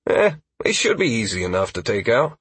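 A man's voice: medium pitch, big pitch swings, a little fast, fairly bright tone, husky, no echo. A man playing a wry, cocky male party leader in a fantasy audiobook, with a dry, teasing manner. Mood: casual.

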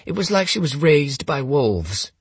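Guy putting on a strangled earnest young man, full of doubt, high husky voice, audiobook mimicry. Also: big pitch swings, unhurried, no room sound, full character voice. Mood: strangled sincerity. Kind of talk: audiobook character dialogue.

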